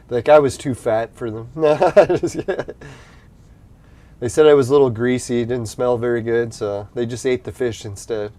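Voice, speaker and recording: A man's voice: mid-range voice, fairly even intonation, moderate pace, warm tone. A man hosting a live stream, chatting casually with viewers in a rambling, laid-back way, laughing often and losing his voice. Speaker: livestream host.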